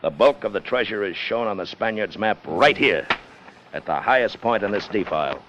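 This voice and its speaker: Ruthless mutineer officer played by a male actor, deep-toned, authoritative and dramatic, vintage radio with light noise. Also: animated, normal speed, lo-fi sound, lightly acted. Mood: scheming, insistent. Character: ruthless, commanding ship's officer plotting mutiny for gold. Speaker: lead character and narrator. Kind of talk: 1950s radio acting. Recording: noisy vintage 1950s radio recording.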